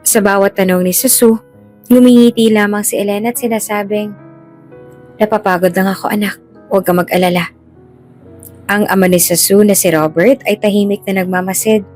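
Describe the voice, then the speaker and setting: A woman with a medium-pitched voice narrates a dramatic story. She reads as a scripted storyteller, with music playing behind her. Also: darkish tone.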